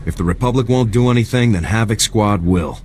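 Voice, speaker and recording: An actor playing a steady, heroic male squad commander in a video game, concise and commanding. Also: on the low side, a little fast, slight background noise, lightly acted. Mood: firm, resolute. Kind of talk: scripted video game cutscene acting.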